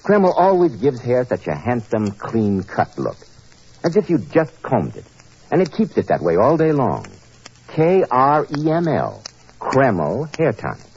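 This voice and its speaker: A man's voice: medium pitch, huge pitch swings, unhurried, a little mellow, husky. A man announcing and selling as a 1940s radio host, polished and emphatic. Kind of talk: 1940s American radio announcing, sponsor pitch. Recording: muffled, noisy 1940s radio recording.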